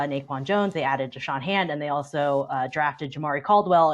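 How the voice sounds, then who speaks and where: A woman discussing sports as a panel reporter, in an informal, slangy, conversational style. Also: sits low.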